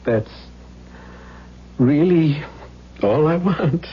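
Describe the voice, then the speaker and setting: An actor playing a kindly, humble, flustered husband who speaks hesitantly in an old-time radio drama. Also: male voice, on the high side, animated, drawn-out words, husky, full character voice. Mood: humble, wistful. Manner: hesitant, stammering, anxious. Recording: dull, noisy old radio recording.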